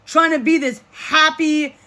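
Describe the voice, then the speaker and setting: Vlogger in their 30s, self-described deep voice, forceful and emphatic, lots of restarts. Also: steady tone, unhurried. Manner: emphatic, repeats and restarts phrases. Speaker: vlogger speaking to supporters. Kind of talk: casual monologue to camera.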